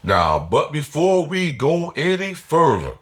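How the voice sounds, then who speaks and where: A man's voice: fairly high pitch, wildly swooping pitch, slow pace, fairly bright tone. A man hosting a motivational podcast, sounding nasal and foggy from a cold, speaking with earnest emphasis. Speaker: podcast host.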